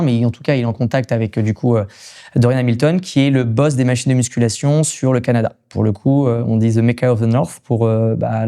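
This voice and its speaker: Fast-talking mellow Frenchman telling stories. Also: mid-range voice. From France. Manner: animated storytelling with slangy English loanwords. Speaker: podcast guest, gym equipment coach and reseller. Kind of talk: casual anecdotal interview chat.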